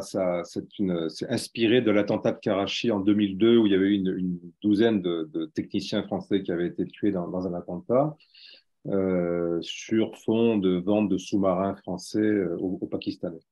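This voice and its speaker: A middle-aged or older man from France tells anecdotes as a guest writer, halting and dryly humorous. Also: on the low side, darkish tone. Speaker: journalist and novelist guest. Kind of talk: anecdotal explanation in a webinar. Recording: dull lo-fi webinar audio.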